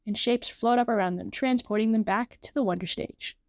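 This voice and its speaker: A woman narrating a fan dub story in a lively, quick manner. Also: on the high side, no echo, studio quality.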